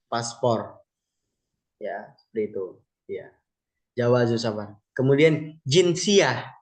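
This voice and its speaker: A man teaching Arabic vocabulary in an online lesson, explaining slowly and patiently with many pauses and fillers. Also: medium pitch.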